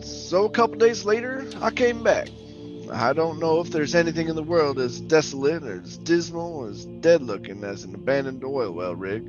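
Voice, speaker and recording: A man's voice: fairly high pitch, lively intonation, normal speed, lo-fi sound, music in the background, lightly acted. A man playing a jittery, haunted oil rig worker telling his suspense story in colloquial, stammering old-time radio style. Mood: uneasy recounting. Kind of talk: old-time radio drama narration, first-person suspense.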